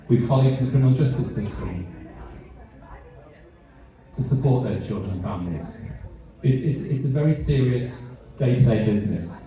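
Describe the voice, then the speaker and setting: A man's voice: medium pitch, mellow. A middle-aged British man giving a fundraiser speech. He repeats words and pokes fun at himself. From Britain. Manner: halting, repeats words, self-deprecating. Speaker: charity CEO giving a speech. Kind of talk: fundraiser speech with light humour. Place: large event hall. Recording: echoey hall, mic heard from a distance.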